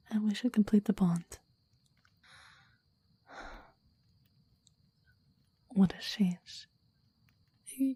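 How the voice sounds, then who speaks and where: A woman voicing a possessive, devoted demon in an intimate ASMR roleplay, speaking in soft breathy whispers with a formal, intense, adoring manner. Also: medium pitch, dry recording. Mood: insistent. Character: possessive, devoted clingy demon bound to a summoner.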